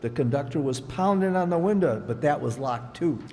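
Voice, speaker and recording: Brief: a man doing a curt, deadpan grump for a live radio comedy, mellow dark tone, roomy hall. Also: a little high, normal speed. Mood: sour. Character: small, blunt, sour brother-in-law. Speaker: amateur radio actor. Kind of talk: old-time radio comedy acting. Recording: live hall reverb with faint ambience.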